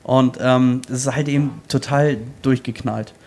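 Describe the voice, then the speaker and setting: A man's voice: mid-range voice, steady tone, a little slow, creaky, a little mellow. A man, the show's editor sitting in as guest, talking keenly about games with hesitant fillers. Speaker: guest co-host, studio editor. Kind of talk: casual chat about video games.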